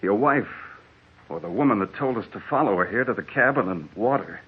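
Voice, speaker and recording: An actor playing a feverish, insistent desert wanderer crazed with thirst, urgent and dramatic, in old-time radio horror. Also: male voice, fairly low pitch, expressive, fairly quick, close to an everyday voice. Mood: dazed, grateful. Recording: tinny, noisy old radio recording.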